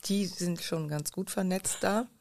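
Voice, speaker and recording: A woman's voice: on the low side, unhurried, gravelly, darkish tone. A woman chatting casually in a reflective, somewhat hesitant manner. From southern Netherlands region or locality called "Holland Süd". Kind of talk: casual conversational chat.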